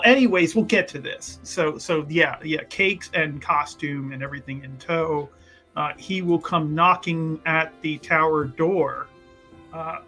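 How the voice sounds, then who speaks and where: A man's voice: a little high, pushed and bright. A man playing a tabletop roleplaying game, rambling jokily through his character's plans with mock-grand phrasing. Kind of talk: casual playful in-game banter and planning. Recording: music behind the voice. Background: background music.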